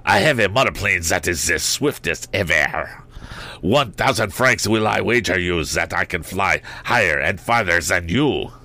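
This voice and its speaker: A man voicing a fussy, boastful little Frenchman with a French accent in a storybook reading, theatrical and excitable. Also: sits low, measured pace, full character voice. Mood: boastful, challenging. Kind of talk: audiobook character voice.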